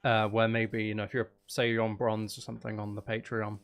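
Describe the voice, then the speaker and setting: A man's voice: lowish voice, completely flat delivery, brisk, clear, resonant tone. A man hosting a live stream, chatting casually with viewers in a rambling, chatty way.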